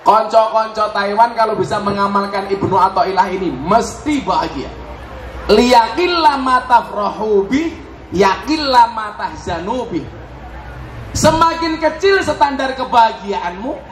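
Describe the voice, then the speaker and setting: A man's voice: high voice, lively intonation, measured pace. A man with a Javanese accent preaching a humorous sermon to a live crowd, teasing them and mixing in Javanese slang. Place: large event hall with live audience. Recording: echoey hall, loud crowd noise.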